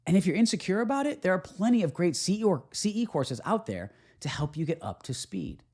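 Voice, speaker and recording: Mellow-voiced man giving an explanatory lecture. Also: medium pitch. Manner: emphatic, informal, opinionated. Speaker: orthodontist and coach teaching. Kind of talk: explanatory lecture on clinical imaging.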